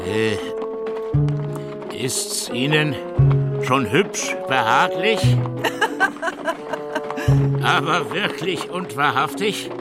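A man playing a grumpy old carter in a radio play, halting and repeating his short questions as he bashfully courts. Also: a little high, animated. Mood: coy, eager. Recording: music behind, horse hooves clopping.

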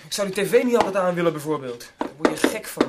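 A naive, put-upon young man in a radio play. His voice is high and he talks fast, earnest and plaintive, over very loud recording noise. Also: animated. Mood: wistful. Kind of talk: radio drama acting. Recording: very noisy old radio recording.

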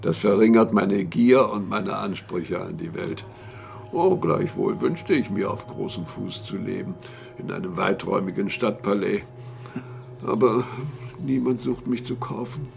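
An actor playing a wry, self-satisfied informant in a radio crime drama, confiding with drawled hesitations and irony. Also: male voice, fairly low pitch, a little slow, gravelly, darkish tone, faint music behind. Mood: wry, self-amused. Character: wry, self-satisfied male insider, shrewd secretive informant.

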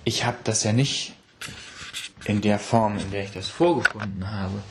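Guy musing out loud, real slow, faint noise behind him. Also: lowish voice. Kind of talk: reflective thinking aloud.

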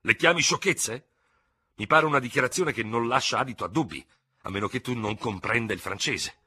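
A man voicing a furious, hot-headed small Gascon cavalry lieutenant, sputtering, hissing and shouting in an audiobook story. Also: on the low side, steady tone, brisk, slightly rough, voice pushed up. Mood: angry, threatening.

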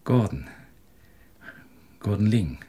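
An actor in a German radio drama playing a guilt-ridden married man, hesitant and apologetic as he confides, with a husky, creaky voice. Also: fairly low pitch, lively intonation, takes it slowly, mellow, full character voice. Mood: uneasy, apologetic. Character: guilt-ridden married man nervously confessing a secret affair.

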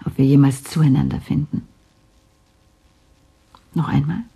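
An actress playing a brooding spaceship scientist in a science fiction radio drama, speaking in short, deliberate phrases. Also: female voice, extremely low pitch, fairly even intonation, gravelly, mellow, husky, exaggerated voice. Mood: anguished, resigned. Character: anguished, clinical spaceship scientist, resigned woman.

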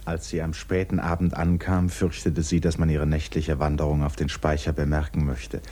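An actor playing a calm, reflective storyteller, giving measured literary narration in a 1960s German radio drama. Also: male voice, a bass voice. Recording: noisy vintage radio play recording.

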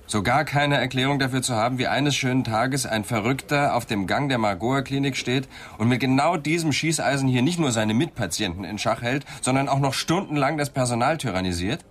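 An actor playing a dry, persistent police inspector in a radio crime drama, questioning with sceptical insistence. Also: male voice, mid-range voice, fairly quick, hardly any pauses, close to an everyday voice. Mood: sceptical, pressing.

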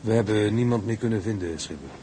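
An actor playing a loyal, respectful ship's crewman in a sea drama, with clear background noise. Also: lowish voice, quick pace, dark tone, close to an everyday voice. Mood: sober. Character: loyal, steady ship's crewman reporting to his skipper. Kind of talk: dramatic acting. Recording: noisy shipboard ambience.

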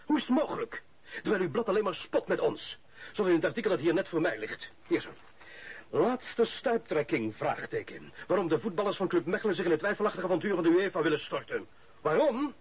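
A self-important official in a radio drama, pedantic and given to bluster. The voice is fairly high and husky and swings widely in pitch. The recording is dull and lo-fi. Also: male voice, animated, a little fast. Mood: indignant. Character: self-important male food inspector and football club chairman. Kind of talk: Dutch-language radio drama acting.

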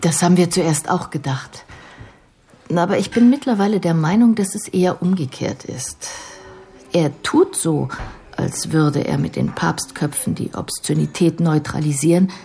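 Low, creaky-voiced, composed female care professional played by an actress. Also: mellow, slight background noise, lightly acted. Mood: calm, reflective. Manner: measured, analytical, explanatory. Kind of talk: radio drama dialogue.

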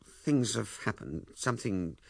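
A man voicing an awkward young man who talks in halting, stammering bursts. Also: on the low side, lively intonation, creaky, slightly rough, no room sound, full character voice. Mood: blunt. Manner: halting, stammering, loud and blunt.

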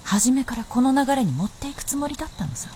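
A woman's voice: sits high, sing-song, fast talker, mellow, slight background noise. A woman playing a shrewd, blunt princess with knowing, masculine phrasing in a period radio drama.

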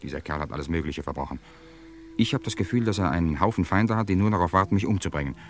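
A man's voice: sits low, fast talker, a little hiss or hum. An actor playing a tense, cynical man living under a false identity in an old-style crime radio play, speaking with urgency and bitterness. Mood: bitter, agitated. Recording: music behind the voice.

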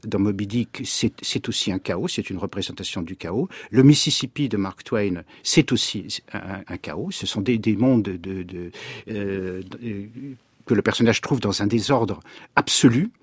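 A man speaking as a literary scholar in a radio discussion, halting and repeating words as he searches for phrasing. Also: on the low side, breathy edge, no echo.

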